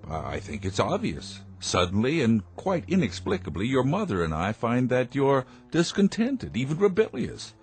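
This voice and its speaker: A man's voice: medium pitch, huge pitch swings, moderate pace, few pauses, darkish tone, soft background music. An actor playing a stern, controlling older inventor and father, speaking pompously in a radio drama. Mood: stern, defensive.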